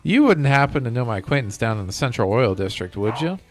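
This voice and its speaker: A man's voice: mid-range voice, sing-song, quick pace, natural voice. Man acting out a game villain, corporate robot developer, folksy drawl, stubborn, self-important, background music. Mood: stern, warning. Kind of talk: reading video game villain dialogue aloud.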